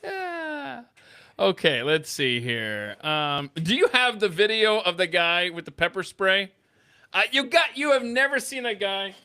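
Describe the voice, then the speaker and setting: Lively, bright-voiced man hosting radio banter. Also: sits high, unhurried, clear, resonant tone. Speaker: radio show host. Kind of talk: casual comic radio banter. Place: studio.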